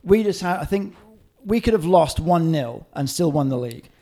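Middle-aged man, fairly high voice, casual podcast chat, animated fan storytelling, informal and sweary. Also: normal speed. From Hong Kong and Singapore. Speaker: podcast co-host. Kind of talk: casual chat with football reminiscing.

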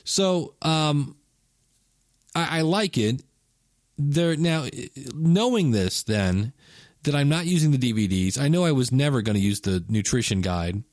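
A man's voice: mid-range voice, expressive, a little slow, a little mellow, dry recording, clean studio sound. A man in his fifties hosting a casual solo podcast, talking in a rambling, chatty way and correcting himself as he goes. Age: 50s. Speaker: podcast host.

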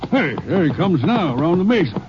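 An actor playing a rugged rancher who speaks in laconic, clipped Western phrases in a 1940s radio drama. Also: male voice, fairly high pitch, very animated, brisk, over music. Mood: urgent. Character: helpful rugged male rancher. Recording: dull old radio broadcast with hiss.

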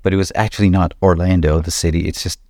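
Low-voiced male host, casual, talks through ideas with questions. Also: fairly even intonation, fast, warm tone, dry recording, studio quality. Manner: musing, asks questions and spins hypotheticals. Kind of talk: casual conversation.

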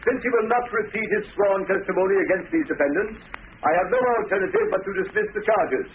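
Man as high-pitched solemn radio judge. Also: fast talker, lightly acted. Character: solemn, formal, firm but regretful court judge. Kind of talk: 1940s radio drama acting. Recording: tinny, hissy old radio recording.

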